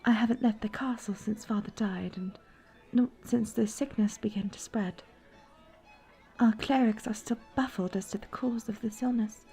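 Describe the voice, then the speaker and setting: Woman acting a soft, breathy young fantasy princess. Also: fairly high pitch, steady tone, moderate pace. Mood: tender. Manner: soft, breathy, intimate, old-fashioned courtly phrasing. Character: young princess Briar, gentle, troubled, lovestruck, formal. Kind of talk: fantasy romance audio roleplay. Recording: background music.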